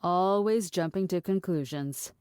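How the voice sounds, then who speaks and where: Actress playing a needling, philosophical woman for audio drama, soft-toned, pointed and condescending. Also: fairly low pitch, unhurried, clean tone, dry recording, studio quality, lightly acted. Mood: skeptical. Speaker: actor.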